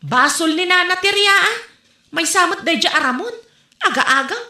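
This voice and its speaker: A woman with an Ilocano accent playing an aggrieved, quick-tempered young villager in a comedy audio drama. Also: very high voice, expressive, pushed and bright, heavily acted. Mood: indignant. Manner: emphatic, complaining. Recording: roomy echo.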